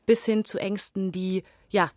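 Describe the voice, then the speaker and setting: A woman's voice: medium pitch, fairly even intonation, unhurried, no echo, clean studio sound. A young woman theatre performer from Bavaria answering radio interview questions thoughtfully, with many fillers and emphatic stretches.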